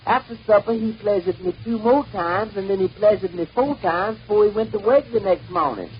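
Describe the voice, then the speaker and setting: A man's voice: high voice, brisk, lo-fi sound, pitched above the performer's own voice, close to an everyday voice. A man voicing an indignant, worn-out newlywed churchgoing woman, plaintive and blunt, in a comedy monologue. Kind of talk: comic quoted dialogue in monologue. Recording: noisy band-limited old 78rpm record.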